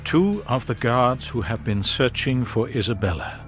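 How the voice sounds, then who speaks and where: An actor playing a grave, watchful storyteller, narrating a gothic tale in a measured, atmospheric way. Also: male voice, medium pitch, lively intonation, soft background music, natural voice. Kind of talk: scripted dramatic narration.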